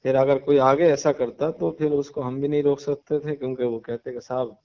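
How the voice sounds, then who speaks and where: A man, an imam, speaking on a phone call interview in a measured, preachy way, slowing down to stress moral points. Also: mid-range voice, flat delivery. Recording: muffled phone call audio.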